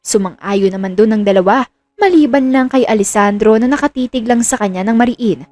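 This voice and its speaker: High-voiced woman narrating a romance story. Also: brisk, a little mellow, soft background music. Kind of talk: scripted dramatic romance narration.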